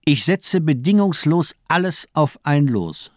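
Fanatical murderous doctor, swinging intonation. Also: male voice, on the high side, very animated, measured pace, no echo, clean studio sound. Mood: cold, challenging. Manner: rhetorical, grandiose, declaiming. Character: fanatical nihilist doctor, cold male torturer and murderer. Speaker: actor in a crime radio play. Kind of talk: dramatic radio play monologue.

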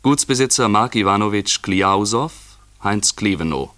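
A man working as a 1950s radio announcer, reading formal scripted credits in a measured, precise manner. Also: on the low side, expressive, a bit bright, dry recording. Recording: dry 1950s radio studio recording.

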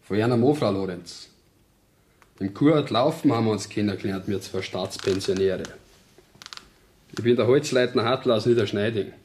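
A man's voice: lowish voice, moderate pace, pauses often, darkish tone, lightly acted. A man playing a courteous, flowery-talking rogue of an ex-convict with a Bavarian accent in a radio play. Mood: jovial, courteous. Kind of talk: 1970s German radio play acting in dialect.

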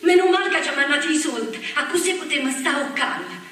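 Chattering young emigrant's wife. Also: female voice, sits high, animated, bright, forceful tone. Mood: tender, anxious. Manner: rushed, tender, colloquial chatter. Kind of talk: stage monologue for radio. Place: theatre stage. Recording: echoey stage from far off.

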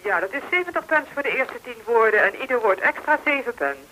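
An actress in a radio play as a businesslike telegraph operator heard through a telephone. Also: female voice, on the high side, sing-song, fast talker, sharp tone, slight background noise, rough recording.

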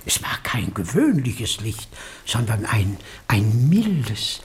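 An actor playing an eccentric, earnest and slightly pompous country clergyman in a German radio drama, hesitant and flowery, with a husky, breathy voice. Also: on the low side, very animated, takes it slowly, exaggerated voice. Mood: awed, mysterious. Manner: hesitant, stammering, flowery wording.

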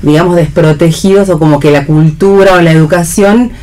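A woman's voice: on the low side, brisk, mellow. An Argentine woman hosting a casual radio discussion, agreeable and chiming in frequently. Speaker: radio show host. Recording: noisy radio studio recording.

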